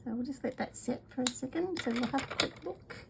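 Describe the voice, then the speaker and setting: A middle-aged Australian woman with a congested, cold-worn voice, chatting casually as she demonstrates craft products, rambling with many ums and often dropping to a soft whisper. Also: high-pitched, fairly quick, creaky, very noisy. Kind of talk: casual craft video chat.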